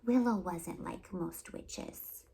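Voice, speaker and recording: A woman hosting storytime, reading a children's picture book aloud in an expressive, deliberate storytelling delivery. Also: lowish voice, gravelly. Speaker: storytime host and reader.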